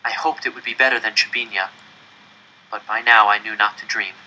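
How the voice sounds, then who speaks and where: A man reading a first-person novel aloud as a dramatic, deliberate and expressive narrator. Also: fairly low pitch, highs cut off, slight background noise. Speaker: audiobook-style reader.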